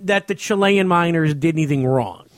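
An American man hosting a casual comedy chat, excitable and insistent about his points. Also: fairly high pitch, moderate pace, no room sound, clean studio sound. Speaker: radio show host.

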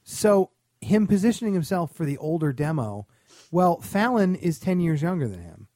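Fairly high-voiced man in casual chat. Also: very animated, moderate pace, dark tone, no echo. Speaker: podcast co-host. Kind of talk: casual opinionated entertainment chat.

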